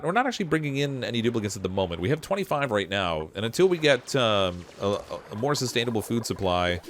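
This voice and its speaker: A man streaming a game live, thinking aloud and answering chat, his voice still lower than usual from a cold, with frequent laughs. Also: fairly bright tone, slight background noise. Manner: chatty, laughs often, thinks aloud with restarts. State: voice lower from getting over a cold. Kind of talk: live gameplay commentary.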